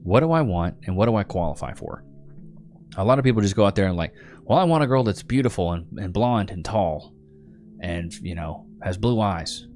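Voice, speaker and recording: A man's voice: sits low, lively intonation, moderate pace, darkish tone. A man from Texas, hosting a casual livestream, reading viewer comments and calling people bro. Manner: laid-back, calls viewers bro and brother. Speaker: livestream host.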